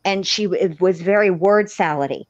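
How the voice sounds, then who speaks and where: A woman's voice: lowish voice, normal speed. A woman talking casually and emphatically as a true-crime livestream panelist, with salty, colloquial wording. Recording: dull, echoey livestream audio.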